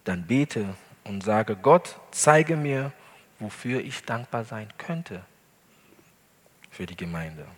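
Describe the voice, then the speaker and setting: A male pastor, not a native German speaker, preaching an earnest sermon in a church hall with deliberate emphasis. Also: lowish voice, expressive. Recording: long hall reverb.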